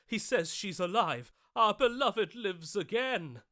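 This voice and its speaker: An actor voicing a grandiose, lovesick romantic hero in a video game, with florid, theatrical, archaic declamation. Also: male voice, high voice, animated, slow pace, bright, forceful tone, no room sound, studio quality, full character voice. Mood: elated hope.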